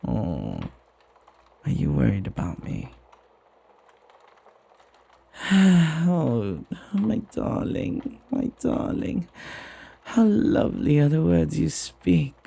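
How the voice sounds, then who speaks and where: A man playing a flirty, husky devoted butler. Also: on the high side, very animated, takes it slowly, dark tone, full character voice. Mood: delighted, flustered, smitten. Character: flirty, devoted, teasing butler doting on the master. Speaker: ASMR roleplay voice actor. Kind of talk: ASMR roleplay acting.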